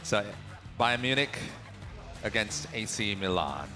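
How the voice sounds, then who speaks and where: A man co-hosting live esports commentary, relaxed and prompting his partner with questions. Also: on the low side, takes it slowly, over music.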